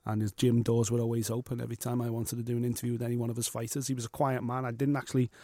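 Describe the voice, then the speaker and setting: A man's voice: medium pitch, level tone, rapid-fire, dark tone, no echo, clean studio sound. A man co-hosting a boxing podcast in casual chat, blunt and jokey, with slang like "mate".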